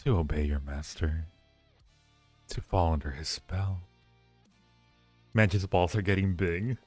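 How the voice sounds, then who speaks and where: A man's voice: sits low, steady tone, slow pace, soft background music. A man hosting a casual livestream, teasing and rambling playfully as he ranks things and repeats his phrases. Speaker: livestream host. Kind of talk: casual livestream chat and ranking commentary.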